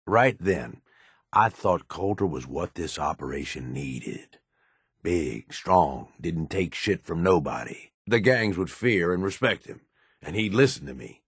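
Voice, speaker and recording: A deep, close and dry male voice for a video game: a gruff middle-aged raider who serves as the boss's right hand. He talks bluntly and pragmatically, worn down by years of experience, in loose, profane slang. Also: expressive, unhurried, no echo, full character voice. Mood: bitter, frank. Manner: blunt, world-weary, drawling slang and profanity. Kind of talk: video game character dialogue.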